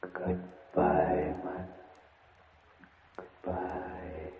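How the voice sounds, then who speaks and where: A man playing a séance medium who voices spirits of the dead, breathy, halting and solemn in old radio drama. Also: rumbling low, little pitch movement, exaggerated voice. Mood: tender, ghostly. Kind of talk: 1950s radio drama acting. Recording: muffled old radio, cavernous echo.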